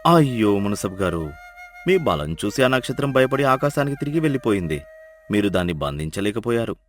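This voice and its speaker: A man voicing a clever, humble donkey herder who speaks softly and persuasively in a Telugu moral story. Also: fairly low pitch, big pitch swings, soft background music, voice pushed down. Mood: sly, calm. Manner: soft, measured, gently persuasive.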